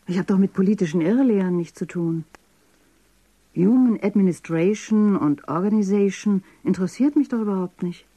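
A woman's voice: mid-range voice, normal speed, mellow, dry recording, lightly acted. An actress playing a puzzled, self-doubting professional woman in a dystopian radio drama, musing as if talking to herself. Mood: worried, self-justifying. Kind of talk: intimate radio drama acting.

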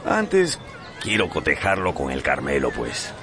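An actor playing a boastful, sly rival gamecock owner, politely provocative, in a radio drama. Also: male voice, extremely low pitch, wildly swooping pitch, unhurried, pushed and bright, some chatter behind, slight background noise, full character voice. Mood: taunting. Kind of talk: Spanish-language radio drama acting.

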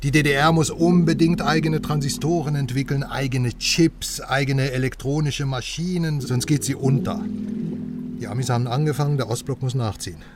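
A man's voice: medium pitch, fairly even intonation, moderate pace, far from the mic, a crowd in the background, lightly acted. A man playing a pushy, self-assured journalist in a radio crime drama, talking at length in a persuasive, self-important way. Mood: eager, explaining. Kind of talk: naturalistic radio drama dialogue.

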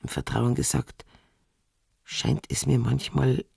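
Worried country housewife, gravelly, unhurried, grave, deferential, confiding, radio play. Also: male voice, medium pitch, very animated, darkish tone, no echo, studio quality, exaggerated voice. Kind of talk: literary radio drama acting.

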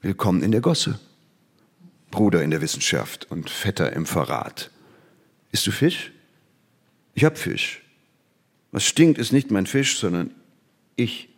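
Bitter old scholar in a reverberant hall. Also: male voice, deep voice, big pitch swings, breathy edge, exaggerated voice. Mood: bitter sarcasm. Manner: declamatory, deliberate rhetorical phrasing. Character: old disgraced male scientist, bitter and self-accusing, lecturing. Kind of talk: 1960s German radio play acting.

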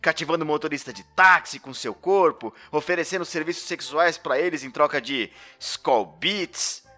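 A man's voice: on the high side, normal speed, sharp tone. A man playing a pompous, sarcastic, corrupt police captain who interrogates theatrically in a comedic audio drama. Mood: mocking.

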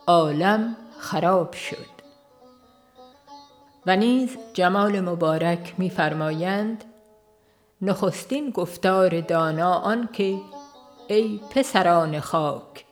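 Female audiobook reader, lower register, solemn formal delivery of devotional text over soft music. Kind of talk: scripted reading of a religious book, formal literary narration.

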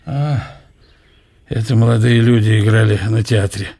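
An actor playing an ailing old scholar-poet in a radio drama, with a wry, gentle, measured delivery. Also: male voice, lowish voice, steady tone, gravelly, breathy edge, exaggerated voice. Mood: wry, resigned. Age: older.

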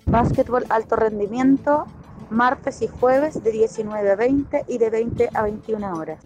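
A woman's voice: fairly high pitch, unhurried, darkish tone, lo-fi sound. A woman announcer reading out a scripted list in a recorded radio report. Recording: music behind the voice. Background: faint music.

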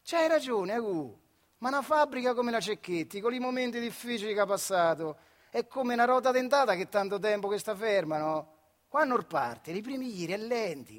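A man's voice: high voice, big pitch swings, normal speed, pitched above the performer's own voice. A man voicing a persuasive, blustering factory foreman in stage storytelling, with colloquial, emphatic speech. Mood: persuasive, patronizing.

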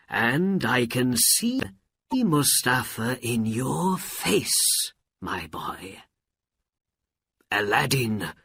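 A narrator voicing a sly old man who poses as a grieving uncle in a storybook, theatrical and drawn-out. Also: mid-range voice, fairly bright tone, dry recording, clean studio sound, heavily acted. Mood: tender.

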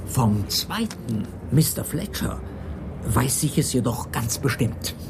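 A timid porter and secretary with a medium-pitched voice. He is formal and polite, hesitant and careful with every phrase. The radio play has clear background noise around him. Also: sing-song, slow, exaggerated voice. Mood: hesitant, hushed. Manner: hesitant, polite, formal, breaking into hushed tones. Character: timid, fussy male nursing-home porter and secretary. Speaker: actor in a crime radio play. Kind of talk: 1980s German crime radio drama acting. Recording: studio radio play with noisy background ambience.

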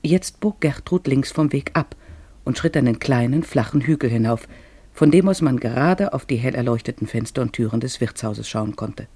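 A man narrating a literary tale for a radio drama, expressive and measured in his phrasing. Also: medium pitch, slightly rough, no echo.

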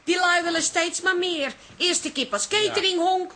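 Bright, brisk bossy café woman. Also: extremely high pitch. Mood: exasperated. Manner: brisk, scolding, down-to-earth. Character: bossy, thrifty, exasperated café proprietress, plain-spoken. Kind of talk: Dutch radio comedy drama acting.

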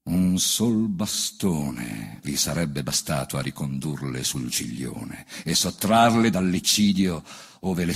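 Very husky man, theatrical verse recitation. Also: sits low, fairly bright tone. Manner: theatrical, grave, declamatory. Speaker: reciting performer. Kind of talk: dramatic poetry recitation. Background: faint band music.

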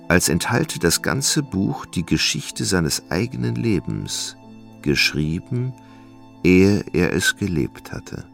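Deep, creaky-voiced male narrator. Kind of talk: scripted literary radio play narration.

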